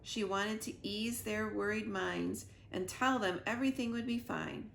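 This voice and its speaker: A woman reading a children's storybook aloud with expressive narration, recorded in a roomy living room. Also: mid-range voice, measured pace, vocal fry. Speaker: reader, school librarian or teacher. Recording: roomy echo, faint background noise.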